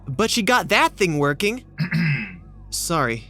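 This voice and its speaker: A man's voice: a little high, sing-song, takes it slowly. An earnest young reporter in an audio drama. He speaks politely and hesitantly, tripping over his words with nerves. Faint music plays behind him. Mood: helpful.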